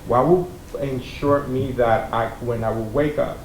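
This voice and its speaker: A man in his thirties, from Mexico, tells his story to a college class from a seated panel. He speaks into a desk mic in a relaxed, conversational way, filling his pauses with ums and uhs. The room sounds echoey, and a faint rumble runs underneath. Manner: conversational, many ums and uhs, gestures with hands. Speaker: keynote speaker. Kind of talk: personal story talk to students. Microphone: desk mic on stand.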